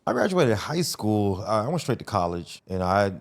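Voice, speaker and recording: Podcast guest: man in his forties, low voice, relaxed storyteller with street slang. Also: moderate pace. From North Las Vegas, USA. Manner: laid-back storytelling, slangy, restarts phrases. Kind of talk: casual interview conversation.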